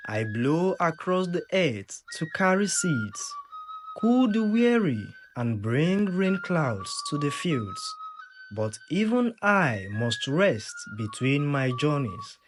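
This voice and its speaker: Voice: mid-range voice, sing-song, takes it slowly, over music. A storyteller voicing an ancient, wise wind spirit with an airy voice in a folktale. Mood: solemn, instructive.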